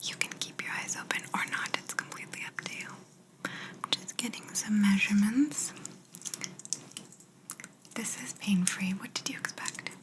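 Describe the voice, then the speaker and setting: A woman playing a calm, teasing alien examiner in an ASMR roleplay, in a soft breathy whisper, soothing and politely clinical. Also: medium pitch, natural voice, low-quality recording. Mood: reassuring, attentive. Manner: slow, soothing, politely clinical with playful condescension.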